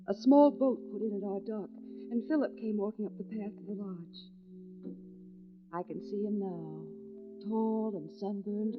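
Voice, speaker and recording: A woman's voice: mid-range voice, natural voice. An actress playing an anguished, overwrought young woman in a 1940s radio mystery. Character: anguished young woman fleeing a lonely island. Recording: muffled vintage radio recording.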